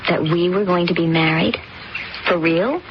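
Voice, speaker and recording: Woman doing a cold calculating heiress, cutting tone, loud tape hiss, muffled radio sound. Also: fairly low pitch, moderate pace, close to an everyday voice. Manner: cool, cutting. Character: young heiress, cold and scheming. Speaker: radio drama actor. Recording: dull old radio recording, loud hiss.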